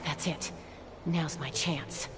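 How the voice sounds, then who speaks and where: An actress playing a self-assured, protective young woman scout in a video game, speaking in a firm, measured way. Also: sits low, fairly even intonation, heavy background noise. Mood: focused. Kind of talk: scripted video game voice acting. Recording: game audio under loud music.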